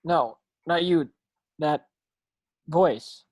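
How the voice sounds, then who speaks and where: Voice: mid-range voice, extremely slow, a little mellow. An actor playing an anxious, puzzled young wizard hero in an amateur table read, plain and earnest.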